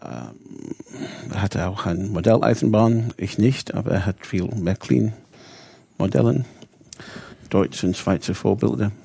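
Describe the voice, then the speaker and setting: A man's voice: on the low side, expressive, unhurried, gravelly, warm tone, husky, no echo. A man of 59 from England with an English accent, telling his story conversationally in detail, sometimes searching for words. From Bradford, Yorkshire, England. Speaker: interview guest, rabbi.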